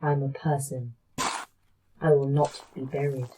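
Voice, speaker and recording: A woman playing a defiant artificial intelligence in a sci-fi horror audio drama, speaking formally and with clear articulation. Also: a bass voice, flat delivery, a little slow, dark tone, slight background noise, rough recording. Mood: bitter, defiant.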